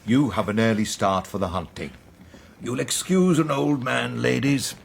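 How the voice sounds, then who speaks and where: An actor playing a courteous, dry old country squire in a radio drama. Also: male voice, medium pitch, moderate pace, vocal fry, lightly acted. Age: older. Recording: faint background noise, 1990s radio play.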